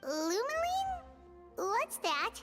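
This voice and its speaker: Game casting: little childlike sidekick creature, extremely high, playful and curious, music clearly behind. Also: female voice, wildly swooping pitch, drawn-out words, full character voice. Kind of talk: video game voice acting.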